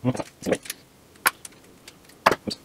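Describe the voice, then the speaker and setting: A man giving casual, halting commentary while building something at a workbench, muttering as he thinks aloud. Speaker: DIY maker. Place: indoor workshop at a cluttered workbench. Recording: roomy echo, loud workshop noise, clicks.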